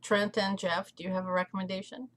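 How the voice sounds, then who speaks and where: A woman's voice: medium pitch, level tone, fast talker, fairly bright tone. A woman speaking as a committee member in a meeting over a video call. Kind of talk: meeting discussion.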